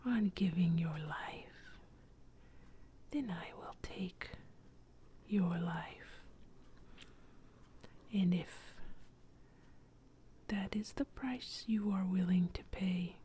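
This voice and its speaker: Brief: menacing male warrior ghost, whispered by a woman, slow, dark-toned, threatening. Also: lowish voice, slight background noise.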